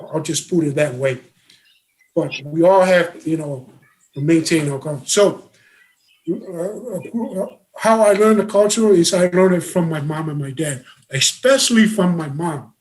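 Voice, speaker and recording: High-voiced man, halting talk. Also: a little mellow, rough recording. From Samoa. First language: Samoan. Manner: halting, full of uh's and restarts. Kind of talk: casual conversation.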